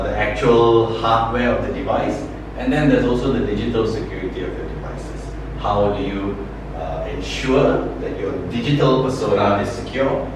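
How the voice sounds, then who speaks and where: A man in his forties from Malaysia, giving a cybersecurity training session in a conversational way, often correcting himself and asking rhetorical questions. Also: medium pitch. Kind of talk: workshop presentation. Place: training room. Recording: echoey room, far from the mic, noticeable noise.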